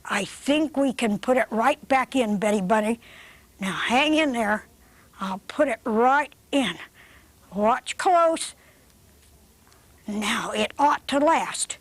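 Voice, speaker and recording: A woman's voice: a little high, animated, slow, long pauses, no echo. Woman doing a cheerful kindly dentist. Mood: reassuring. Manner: bright, reassuring bedside talk.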